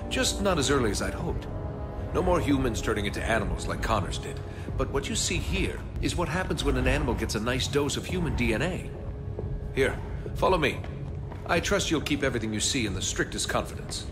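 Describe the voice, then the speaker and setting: A man's voice: mid-range voice, big pitch swings, a little fast, loud music behind the voice, lightly acted. An actor playing an authoritative, guarded, stern male scientist in a video game. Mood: grave. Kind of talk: video game dramatic acting.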